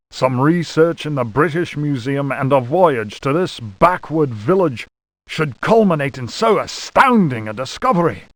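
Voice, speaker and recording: An actor voicing a pompous, fastidious aristocratic explorer of the 1820s, reading a travel journal in a mannered, formal way for a horror game. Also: male voice, a little high, no room sound. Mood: awestruck.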